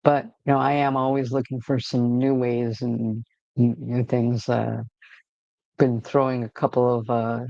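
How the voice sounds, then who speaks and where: A man with a gravelly, creaky voice hosting a relaxed livestream from home. He rambles loosely, with lots of fillers and restarts. The audio is dry and a bit dull and lo-fi. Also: mid-range voice, measured pace, no room sound. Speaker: livestream host. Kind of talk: casual livestream chat.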